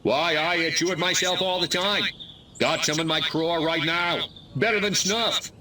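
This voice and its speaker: Bright, brassy small-town huckster mayor. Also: male voice, on the high side, fairly even intonation, moderate pace, recorded from a distance, slight background noise. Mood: glib, evasive. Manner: glib, stammering showman patter. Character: folksy male small-town mayor, disguised alien, smarmy huckster. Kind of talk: comedic public speech in a video game.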